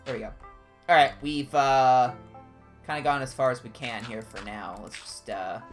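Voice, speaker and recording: A man with a higher-pitched voice, chatting casually and discursively as a game streamer. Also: moderate pace, faint music behind. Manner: animated, discursive, jumping between tangents. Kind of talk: casual rambling livestream chat during gameplay.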